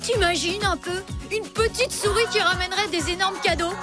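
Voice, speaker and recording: A woman's voice: very high-pitched, moderate pace. A woman playing a spirited, loyal, kind-hearted young boy in a French cartoon dub, earnest and exclamatory. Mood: playful. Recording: music bed under the dialogue.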